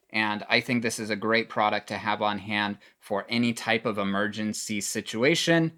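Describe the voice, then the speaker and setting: A man's voice: mid-range voice, a bit bright, clean tone. Plain-spoken man reviewing a product. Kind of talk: casual product review demonstration.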